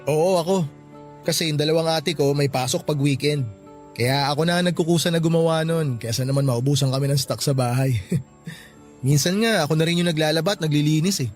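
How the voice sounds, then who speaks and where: A man's voice: on the high side, fairly quick, lightly acted. Actor as light-voiced, easygoing young Filipino man. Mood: friendly, teasing. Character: friendly, helpful, easygoing young male coworker. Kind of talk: Tagalog radio drama acting. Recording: music bed behind the voice.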